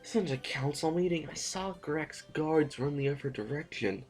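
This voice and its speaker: A man's voice: on the high side, normal speed, natural voice. Stammering, anxious nobleman acted by a man, music underneath. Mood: panicked, urgent. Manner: stammering, urgent. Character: anxious, frightened male nobleman fleeing a violent uprising. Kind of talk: audio drama acting.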